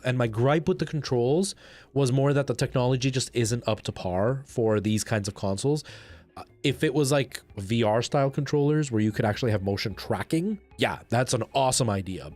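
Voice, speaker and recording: A man's voice: fairly low pitch, a little mellow. Man livestreaming a game over music. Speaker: video game livestream host. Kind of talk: casual livestream chat while playing a game.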